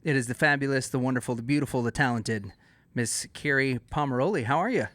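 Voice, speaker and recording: A man's voice: mid-range voice, fast talker, a bit bright, dry recording. Male podcast host, close dry sound. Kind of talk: casual interview chat.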